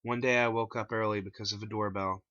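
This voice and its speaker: A man's voice: on the low side, fairly even intonation, quick pace, a bit bright, no room sound, lo-fi sound. A man reading his own story aloud in a dramatic first-person narration, on a plain home recording.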